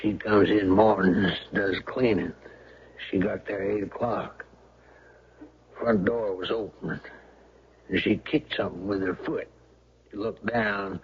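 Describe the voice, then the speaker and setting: An actor playing a plain-spoken, courteous small-town sheriff in a radio drama, with a husky voice and folksy, deliberate talk. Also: male voice, lowish voice, fairly even intonation, slight background noise. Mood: matter-of-fact. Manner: folksy grammar, deliberate, deferential with "ma'am". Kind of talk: 1970s radio drama acting. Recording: muffled old radio broadcast recording.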